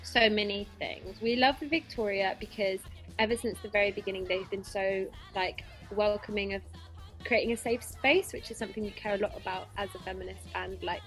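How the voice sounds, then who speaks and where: A woman's voice: medium pitch, steady tone, fairly quick, fairly bright tone, soft background music. A woman in a band answering interview questions in an earnest, articulate way. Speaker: band member being interviewed. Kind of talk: casual interview chat.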